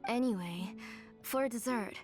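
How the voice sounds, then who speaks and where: An actress playing a gentle, earnest older mother in a video game, with music under her lines. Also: fairly high pitch, measured pace, close to an everyday voice. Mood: warm, motherly. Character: gentle, guilt-ridden, hospitable older mother.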